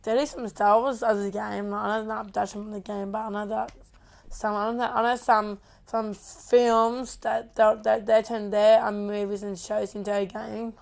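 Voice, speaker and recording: A woman's voice: mid-range voice, level tone, moderate pace, vocal fry, a little mellow. A woman co-hosting a casual podcast, chatting in short, simple remarks. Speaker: podcast co-host.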